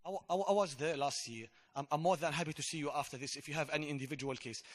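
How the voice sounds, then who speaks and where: A man's voice: a little high, racing. A man presenting school language results to parents, hesitant and often repeating his words. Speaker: Arabic department presenter.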